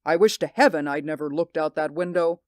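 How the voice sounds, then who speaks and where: An actor in a 1940s radio drama playing a haunted, sleepless man who pleads in an intense, hushed voice. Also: on the high side, brisk, no echo, clean studio sound, lightly acted. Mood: brooding.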